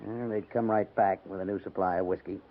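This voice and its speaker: An actor playing a gruff but easygoing cavalry major with an authoritative manner in a 1950s radio drama. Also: male voice, low-pitched, steady tone, brisk, narrow, tinny audio, close to an everyday voice. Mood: emphatic. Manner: emphatic, authoritative. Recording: noisy band-limited 1950s radio recording.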